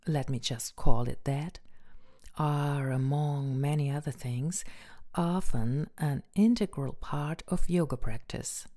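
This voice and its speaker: Slow, low-voiced woman guiding meditation. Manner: gentle, breathy, unhurried with long pauses. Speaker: meditation guide and podcast host. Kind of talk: soft guided meditation introduction.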